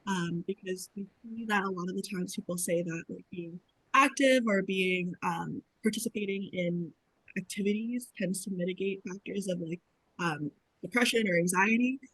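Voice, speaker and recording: A woman's voice: medium pitch, normal speed, a bit bright, husky, no room sound, rough recording. A young woman from Boston describing her research on a video-call panel, hesitant with many ums and likes. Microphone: video call with dropping audio.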